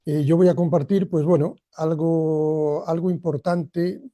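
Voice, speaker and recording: A man's voice: fairly high pitch, steady tone, unhurried, warm tone, no room sound. A man gives a motivational training talk in a reflective, personal way, addressing listeners directly. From Argentina, emigrated to Spain as a boy.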